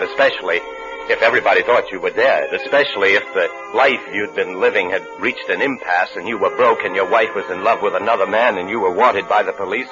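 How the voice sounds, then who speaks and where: Male actor as brooding wanted man, very low voice, fatalistic narration, 1950s radio suspense, dull old recording. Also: natural voice. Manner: brooding, fatalistic first-person storytelling. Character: brooding, desperate man on the run for murder. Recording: muffled vintage radio recording with music.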